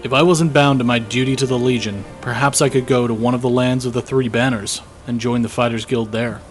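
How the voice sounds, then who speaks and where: A man's voice: mid-range voice, fairly even intonation, close to an everyday voice. A man as a weary young conscript soldier, music behind. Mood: wistful. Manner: measured, reflective, dramatic diary reading. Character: weary, guilt-ridden young male imperial conscript soldier. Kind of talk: scripted fantasy audio drama journal narration.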